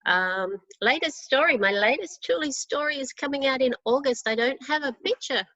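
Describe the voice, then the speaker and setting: A woman, an author introducing herself casually in a halting, reflective way. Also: sits high, pushed and bright, dry recording. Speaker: romance author, panel guest. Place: at home on a video call. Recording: dull, dry call audio.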